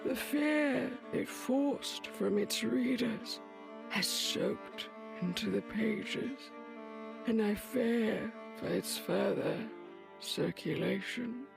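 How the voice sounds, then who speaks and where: Casting: weary, ominous fantasy witch for audio drama. Highish, gravelly, theatrical and grave. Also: over music, full character voice. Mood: ominous dread. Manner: slow, ominous, theatrical storytelling. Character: weary, dread-laden mystic witch in rags.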